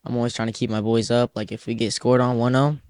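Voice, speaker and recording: A man's voice: mid-range voice, level tone, quick pace, darkish tone. A teenage boy, a youth soccer player, answering interview questions in a loose, rambling way full of "like" fillers. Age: teens.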